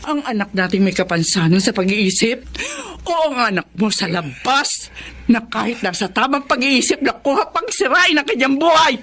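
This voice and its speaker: A woman's voice: a little high, animated, normal speed, fairly bright tone. An actress playing a stern, wounded middle-aged mother in an emotional Tagalog family melodrama. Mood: angry, bitter. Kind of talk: Tagalog melodrama radio acting.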